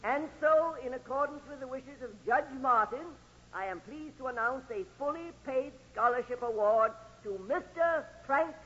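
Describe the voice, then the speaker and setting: A man's voice: very high-pitched, normal speed, bright, forceful tone. A man playing a formal college professor, making announcements in stilted, ceremonious 1940s radio style. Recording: muffled 1940s radio recording.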